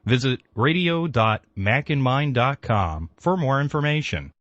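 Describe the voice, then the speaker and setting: A man's voice: medium pitch, animated, measured pace, a bit bright, no room sound. A man reading scripted continuity announcements as a radio network announcer. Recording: slightly dull, dry studio recording.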